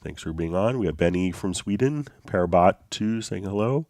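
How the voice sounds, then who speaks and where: A man hosting a live software tutorial stream, sounding a little congested from a slight cold, talking in an easygoing way with frequent fillers and restarts. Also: deep voice, lively intonation, normal speed, no echo, clean studio sound. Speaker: host.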